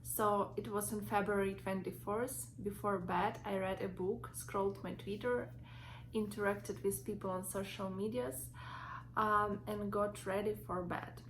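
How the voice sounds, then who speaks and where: A young woman with a Ukrainian accent, reading her own story from notes in a halting way with many fillers and restarts. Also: mid-range voice, steady tone, poor audio. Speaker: vlogger.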